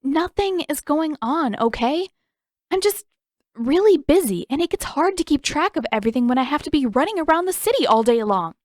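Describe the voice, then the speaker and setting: A woman's voice: high-pitched, animated, moderate pace, darkish tone, no echo, clean studio sound, voice pushed up. A woman voicing a sarcastic, stubborn teenage girl in an audio story, emphatic and defensive. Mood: exasperated.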